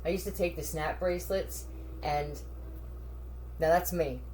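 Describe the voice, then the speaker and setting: A woman's voice: sits low, measured pace, mellow, a little hiss or hum. A woman talking in a scattered, profane monologue, addressing unseen listeners directly. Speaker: video creator. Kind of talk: rambling monologue to camera.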